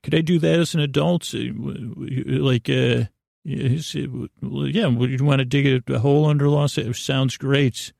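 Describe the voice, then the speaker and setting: A man hosting a sleep podcast in a creaky, soothing voice, meandering and halting through a lulling bedtime monologue full of digressions. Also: mid-range voice, fairly even intonation, a little mellow, dry recording, clean studio sound. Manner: meandering, halting, over-talking with many digressions. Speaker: podcast host.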